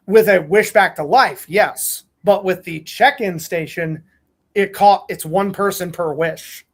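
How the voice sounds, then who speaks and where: A man's voice: sits high, a little slow. A man hosting a podcast, reading fan fiction aloud and chatting casually. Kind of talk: casual read-aloud and group chat.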